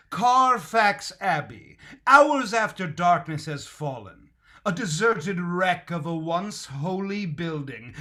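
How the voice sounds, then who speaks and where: Man as a bright, measured old-time radio announcer. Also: sits high, exaggerated voice. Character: genial, dramatic 1940s radio announcer and pitchman.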